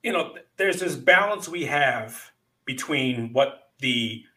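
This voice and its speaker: A man's voice: mid-range voice, animated, slow pace, pushed and bright. A man in his fifties hosting a casual podcast chat, rambling and profane, with lots of fillers. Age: 50s. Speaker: podcast host.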